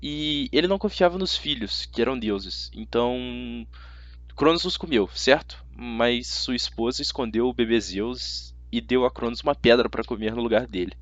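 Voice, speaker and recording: A man's voice: medium pitch, normal speed, no echo, muffled recording, close to an everyday voice. A man voicing an awkward, impulsive schoolboy in an audiobook, hesitant and earnest. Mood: explaining, tentative. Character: awkward, impulsive twelve-year-old schoolboy, unsure of himself. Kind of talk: audiobook character voice.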